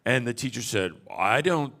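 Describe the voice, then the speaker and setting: A man's voice: mid-range voice, animated, a little slow, bright, forceful tone. A man from Texas preaching a sermon in short phrases for an interpreter, folksy and chuckling, with frequent restarts. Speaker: pastor. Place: church auditorium.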